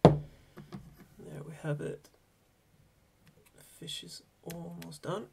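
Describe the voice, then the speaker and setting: A man in his thirties with a Swedish accent, a Swedish native speaker explaining fly tying in a relaxed, hesitant way from a small home workshop. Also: on the high side, very slow, vocal fry, heavy background noise. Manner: relaxed, hesitant, thinking aloud. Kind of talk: casual tutorial narration.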